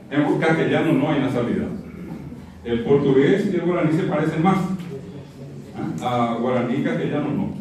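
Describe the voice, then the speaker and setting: A man giving an interactive language lecture, didactic, repeating example words and putting questions to the audience. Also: mid-range voice. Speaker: lecturer teaching Guaraní. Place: university auditorium. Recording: very echoey hall, distant mic, audience noise.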